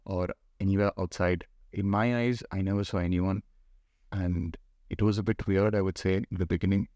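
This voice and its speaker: A man's voice: low voice, fairly even intonation, normal speed, darkish tone, no echo. A young man with an Indian accent hosting a casual podcast chat, playful and teasing. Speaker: podcast host.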